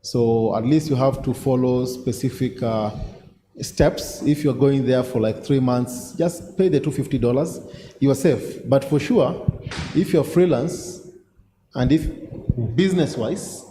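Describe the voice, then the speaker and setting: A man's voice: mid-range voice, measured pace, dark tone. A man giving practical advice as a panelist in a discussion. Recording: echoey reverberant hall, faint background noise.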